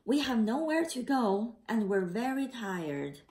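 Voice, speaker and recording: A woman reading a children's picture book aloud in a careful, slightly halting way. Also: a little high, big pitch swings, a little mellow. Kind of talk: read-aloud storytelling.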